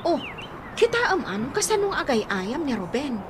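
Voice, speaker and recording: A woman's voice: high voice, big pitch swings, normal speed, noisy background. A woman playing a bubbly, teasing young sports fan in a radio drama, with an Ilocano accent. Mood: excited.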